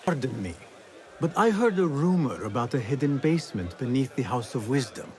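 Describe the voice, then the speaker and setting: A man's voice: medium pitch, very animated, moderate pace, a little mellow, natural voice. A man playing a young, earnest assassin in a cinematic video game, with music behind him. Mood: polite, probing. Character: young vengeful assassin, stealthy, earnest and polite.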